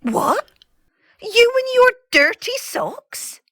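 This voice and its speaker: A woman playing a spirited, teasing older grandmother with a brisk, bossy manner in an audio drama. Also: extremely high pitch, big pitch swings, bright, forceful tone, dry recording, clean studio sound, full character voice. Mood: mocking.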